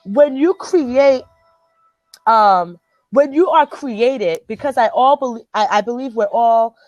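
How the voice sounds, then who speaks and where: A woman's voice: fairly high pitch, slow pace, a little mellow, no room sound, dull audio. A woman with a Liberian accent hosting a motivational group chat, speaking earnestly and emphatically, often repeating phrases to drive a point home. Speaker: host.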